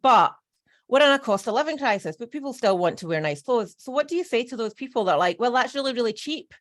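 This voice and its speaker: A woman's voice: fairly high pitch, expressive, fast talker. A middle-aged woman hosting a podcast, bubbly and emphatic as she introduces and questions her guest. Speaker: podcast host. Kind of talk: casual podcast interview.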